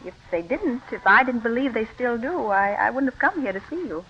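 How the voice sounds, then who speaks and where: A woman's voice: a little high, expressive, brisk, slight background noise. An actress in a 1940s radio drama playing a poised, shrewd nineteenth-century lady lawyer, speaking formally and articulately. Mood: earnest, assured. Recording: muffled vintage radio recording.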